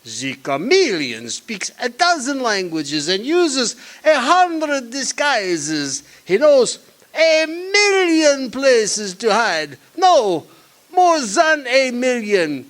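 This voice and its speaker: A sly little German villain from a radio detective spoof, high-pitched and swooping wildly. He taunts and threatens in a slow, theatrical German accent, turning his sentences back to front. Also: sing-song, a bit bright, full character voice. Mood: boastful, sly. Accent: put-on German. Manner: inverted syntax, taunting, theatrical. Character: natty little German criminal henchman, sly, menacing, comically indignant. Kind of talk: comic old-time radio detective drama acting.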